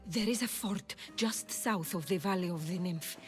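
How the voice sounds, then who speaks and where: A troubled oracle of ancient Greece voiced by an actress, her voice on the low side. She speaks with solemn, guarded deliberation, like someone confessing in a game drama, with music underneath. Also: moderate pace, natural voice. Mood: wary. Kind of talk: dramatic video game acting.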